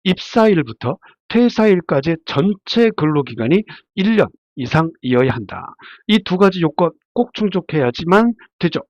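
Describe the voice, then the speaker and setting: A man's voice: sits high, darkish tone, dry recording. A man giving an informal, explanatory slide lecture as a labor attorney, his voice stuffy and nasal from a cold, often asking and answering his own questions. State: congested from a head cold. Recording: dull, muffled lo-fi sound.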